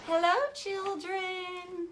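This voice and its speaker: A woman voicing a sweet, coy lady schoolteacher in a storybook, sugary and drawn-out. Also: extremely high pitch, little pitch movement, noisy background, voice pushed up, exaggerated voice.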